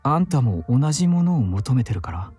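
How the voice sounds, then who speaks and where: An actor playing a soft, effeminate young man in a visual novel, candid and caring, with gentle feminine phrasing. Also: mid-range voice, big pitch swings, rapid-fire, husky. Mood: wistful, probing. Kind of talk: Japanese visual novel voice acting. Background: game music.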